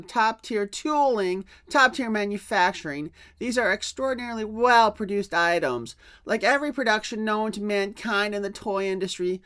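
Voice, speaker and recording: A man's voice: sits high, sharp tone, smooth. A man voicing a pompous, self-satisfied toy company boss in a mock dramatic reading of a letter. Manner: pompous, salesman-like.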